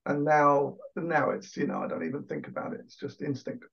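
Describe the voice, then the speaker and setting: A man's voice: mid-range voice, sing-song, brisk, gravelly, husky, dull audio. An Englishman talking casually as an interview guest on a video call, chatty and self-deprecating with lots of fillers. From England.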